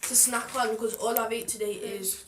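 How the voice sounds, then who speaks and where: A woman's voice: fairly high pitch, fairly even intonation, normal speed, distant mic, slight background noise. A young woman with a Manchester accent, joking around and repeating a catchphrase with friends on a livestream. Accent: Mancunian. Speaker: streamer. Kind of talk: casual group chat on a first livestream. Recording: echoey room, a bit off mic.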